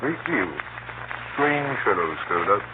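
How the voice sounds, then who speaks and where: An actor playing a senior British government official, composed and weary, with a measured, formal manner in 1930s radio drama style. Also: male voice, on the low side, very animated, crowd noise. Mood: dry, conversational. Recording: scratchy, narrow-band old radio recording, heavy noise.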